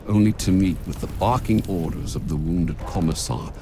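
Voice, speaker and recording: A man's voice: low-pitched, moderate pace, background noise. A man reading scripted narration for an audio drama as its storyteller.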